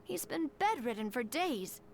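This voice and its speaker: A woman's voice: high-pitched, very animated, unhurried, creaky, slight background noise, exaggerated voice. An actress playing a principled, composed young woman in a video game, speaking firmly and articulately. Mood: apologetic, concerned. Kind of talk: video game character acting.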